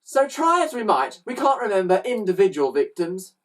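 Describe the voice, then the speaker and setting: A woman acts out a lumpish male crook who sells ice cream in a children's story. He blusters and bellows with gloating cheek, in a raised pitch that swoops widely. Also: big pitch swings, normal speed, far from the mic, lightly acted. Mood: brazen.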